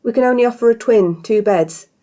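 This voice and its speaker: A woman's voice: a little high, brisk, pitched above the performer's own voice, natural voice. A woman voicing a helpful, professionally cheerful woman innkeeper in a story, polite and innocent-sounding. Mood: apologetic, helpful. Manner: polite, bright, feigning innocence.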